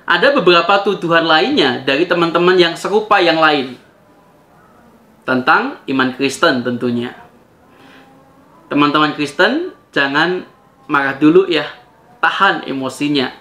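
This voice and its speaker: A man's voice: fairly high pitch, pushed and bright. A man hosting a video, talking conversationally to viewers as he gives commentary and poses rhetorical questions. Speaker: video host. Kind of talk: spoken rebuttal commentary to viewers.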